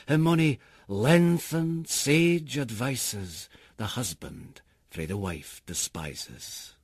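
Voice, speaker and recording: A man with a Scottish accent giving a theatrical, expressive recitation of narrative poetry in Scots. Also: mid-range voice, slightly rough, no echo, clean studio sound. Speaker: poetry reader.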